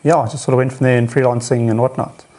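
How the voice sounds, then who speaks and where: A man's voice: medium pitch, flat delivery, fast, dark tone, slight background noise. Young man giving short, modest answers. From South Africa, Cape Town region. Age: young adult. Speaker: cameraman, guest. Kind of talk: casual interview answers.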